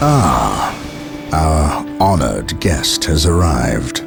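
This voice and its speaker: An actor playing a stern, commanding space marine captain in a video game, speaking formally and with authority. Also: male voice, rumbling low, expressive, drawn-out words, vocal fry, heavily acted. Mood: grave. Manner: formal, authoritative military delivery. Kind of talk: dramatic video game acting and briefing. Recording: music behind.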